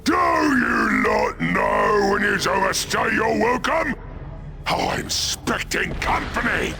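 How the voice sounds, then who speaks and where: A brash ork warboss from a video game, bellowing in rough Cockney. The voice is high for a man and swoops wildly with belligerent bluster. It is gritty and harsh, over music. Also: huge pitch swings, takes it slowly, exaggerated voice. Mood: mocking, belligerent. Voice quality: gravelly, harsh. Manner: bellowing, crude rough grammar. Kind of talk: video game cutscene acting.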